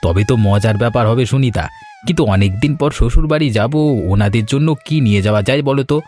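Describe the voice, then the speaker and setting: A man voicing a polite, good-natured young village husband in an animated Bengali family story, earnest and deliberate. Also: medium pitch, faint music behind, lightly acted. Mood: earnest, agreeable.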